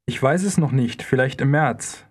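A man in a language course, repeating phrases slowly and deliberately as a model for learners. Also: medium pitch, breathy edge. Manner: slow, deliberate model pronunciation. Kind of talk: scripted instructional dialogue.

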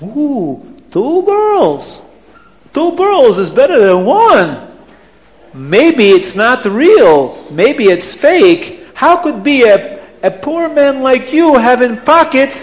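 A man voicing an angry, greedy, boastful rich seller in a children's story, blustering loudly in broken English. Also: very high voice, very animated, slow pace, voice pushed up, exaggerated voice. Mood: amazed, suspicious. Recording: long hall reverb.

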